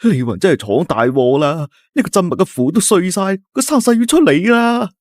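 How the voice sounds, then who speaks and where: A jittery, superstitious young villager from a Cantonese storybook, as a male narrator puts him on, his voice swinging widely from a hush to a shrill squeal. He speaks with a Cantonese accent, dry and close to the mic. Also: expressive, fairly quick, no echo. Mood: panicked scolding.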